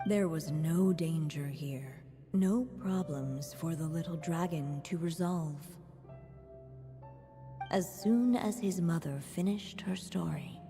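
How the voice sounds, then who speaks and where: A gentle fairy-tale narrator with a lowish, mellow voice. She tells the game's storybook tale slowly and solemnly, in long reverb with music behind. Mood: soothing, measured.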